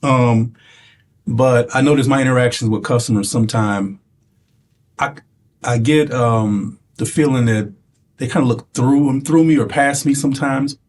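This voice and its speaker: A man with a light, soft voice talks hesitantly, filling pauses with "uh" and "um" and starting phrases over. A faint hiss sits behind him in the recording. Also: mid-range voice. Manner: hesitant, many fillers. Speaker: participant.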